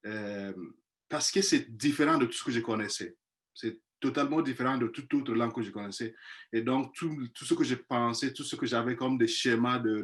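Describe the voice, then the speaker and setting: Man, mid pitch, non-native French speaker, halting testimony on a video call. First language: not French; speaks five languages. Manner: hesitant, many restarts and repeated words. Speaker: missionary priest, panel guest. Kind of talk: personal testimony in a webinar.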